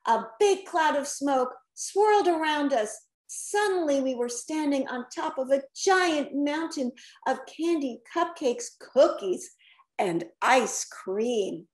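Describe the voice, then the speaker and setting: Children's storyteller: middle-aged woman, high voice, leisurely expressive read-aloud, questions to the young audience. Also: slow. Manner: expressive, asks the listeners questions. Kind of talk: picture-book read-aloud with asides to children. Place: indoors, attic-style room at home. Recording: roomy home recording.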